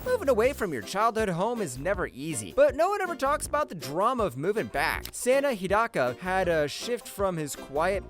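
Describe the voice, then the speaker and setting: A narrator reading a scripted top-ten list in a playful, sarcastic way. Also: mid-range voice. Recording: music playing behind voice. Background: background music.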